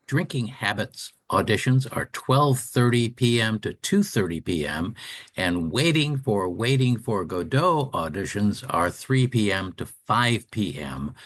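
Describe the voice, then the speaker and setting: Deep, animated male radio announcer. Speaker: radio show co-host. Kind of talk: scripted community calendar announcements.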